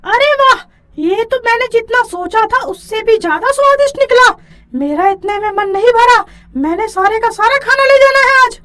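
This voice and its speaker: A woman voicing a hungry, greedy witch in an animated children's story, exclaiming and gloating as she schemes. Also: extremely high pitch, normal speed. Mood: gleeful, greedy.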